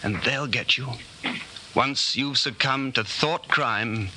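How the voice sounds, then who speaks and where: A man's voice: medium pitch, slow pace, natural voice. Man playing a guarded rebel, noisy old radio. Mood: fearful, grim. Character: guarded, brooding male rebel in a dystopia. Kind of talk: 1940s radio acting. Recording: noisy 1940s radio recording.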